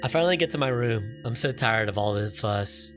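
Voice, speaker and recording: Male game streamer, mid-pitched, dramatic read-aloud with playful casual asides, prominent music underneath. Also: animated, fairly quick. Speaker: streamer playing and reading a visual novel. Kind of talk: game let's play, reading text aloud with casual asides. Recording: loud music behind the voice. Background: game music and sound effects.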